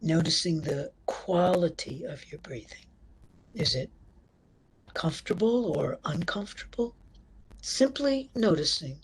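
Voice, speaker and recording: Slow, husky woman guiding meditation. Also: lowish voice, expressive, poor audio. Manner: gentle, measured, pausing between short phrases. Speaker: meditation instructor. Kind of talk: guided meditation.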